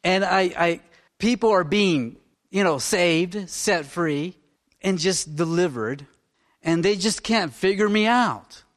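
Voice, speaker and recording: A man in his fifties, a minister preaching in a fervent, rambling way that swings between loud exclamations and hushed talk. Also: high voice, animated, takes it slowly. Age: 50s. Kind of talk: informal sermon.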